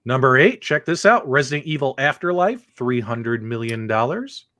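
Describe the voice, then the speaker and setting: A man's voice: mid-range voice, very animated, moderate pace, pushed and bright, dry recording. A man hosting a live panel discussion in an upbeat manner, addressing the audience directly. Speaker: host.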